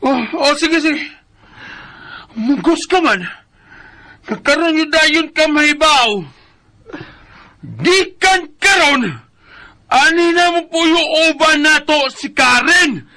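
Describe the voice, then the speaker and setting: A drunken Cebuano Filipino husband, blustering and impatient. His very piercing voice drags out the words slowly in defiant radio drama style. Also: very high voice, expressive, pushed and bright, full character voice. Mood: angry, defiant.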